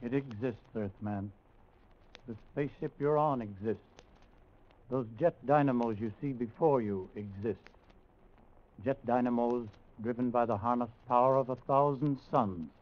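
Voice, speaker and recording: An actor playing a domineering alien commander in an old radio drama, declaiming imperiously. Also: male voice, medium pitch, unhurried, close to an everyday voice. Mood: boastful, imperious. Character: domineering alien commander taunting an Earth captive. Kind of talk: 1950s radio science fiction drama acting. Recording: noisy, dull old radio recording.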